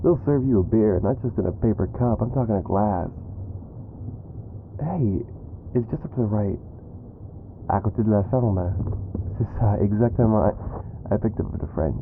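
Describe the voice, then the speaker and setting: A man playing a smug, dominant mafia boss kidnapper with a gravelly low voice, teasing and menacing, in an ASMR roleplay style. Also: moderate pace, long pauses, phone-quality sound, a little hiss or hum, close to an everyday voice. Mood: chatty, smug.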